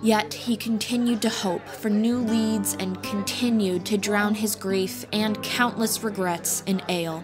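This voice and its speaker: A woman reads a fantasy story as its narrator, in a mid-range voice. Music plays clearly behind her scripted narration. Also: mid-range voice, steady tone, a little slow, few pauses.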